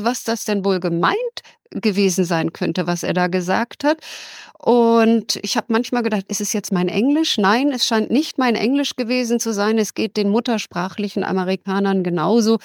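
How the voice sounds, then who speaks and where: A woman's voice: on the high side, no room sound, studio quality. A woman correspondent answering interview questions in an emphatic, opinionated and wry way. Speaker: correspondent in the USA. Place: USA.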